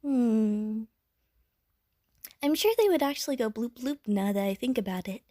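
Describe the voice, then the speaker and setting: A woman's voice: a little high, lively intonation, moderate pace, dark tone, dry recording, clean studio sound, close to an everyday voice. A woman voicing a friendly, bubbly, naive female water slime who rambles chattily to the listener in an audio roleplay. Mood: playful, imaginative.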